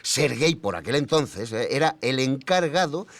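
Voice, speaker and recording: An actor playing a self-assured professorial expert, explaining history didactically in a documentary interview with questioning little hums. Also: male voice, mid-range voice, animated, normal speed, dry recording, lightly acted. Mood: explanatory.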